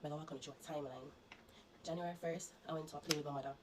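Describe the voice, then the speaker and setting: A woman's voice: low voice, fairly even intonation, racing, background noise. A Jamaican woman vlogger, congested and coughing, earnestly recounting her illness to her viewers and warning them. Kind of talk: personal storytelling to viewers.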